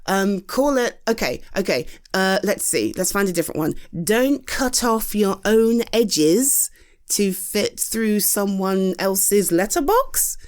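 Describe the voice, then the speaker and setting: A British woman speaking in a loose, rambling roleplay to a friend. Her pitch leaps up and down as she jokes at her own expense, swears casually and keeps circling back to reassure. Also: lively intonation, slow. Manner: chatty, rambling, self-deprecating, reassuring; swears casually, laughs often. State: tired. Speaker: audio roleplay performer. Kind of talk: scripted roleplay monologue to a friend.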